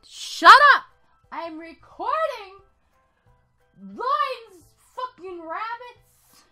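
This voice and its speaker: A woman's voice: extremely high pitch, animated, extremely slow, bright, forceful tone, soft background music. A young woman amateur voice actor muttering and swearing in exasperation between takes. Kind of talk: blooper outtakes, casual muttering.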